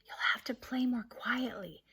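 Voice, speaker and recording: A woman voicing a gentle mother in a storybook, speaking to her small boy in a kindly, coaxing way. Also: a little high, unhurried, gravelly, slightly rough, exaggerated voice. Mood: hushed.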